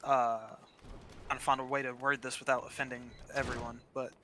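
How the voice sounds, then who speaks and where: A man's voice: mid-range voice, little pitch movement, brisk, bright, forceful tone, slight background noise. A man chatting casually during gameplay, telling stories in a blunt way with some swearing. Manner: blunt, profane, storytelling. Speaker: gaming channel co-player.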